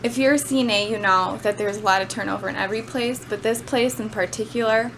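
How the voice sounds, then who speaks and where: A woman delivers an informal class speech in a conversational way, with slightly hesitant phrasing. Her voice sits at an average pitch. The amateur camera recording has some noise in it. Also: steady tone, fast talker, fairly bright tone, low-quality recording. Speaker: student giving a class speech. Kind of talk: informal prepared speech on personal work experience.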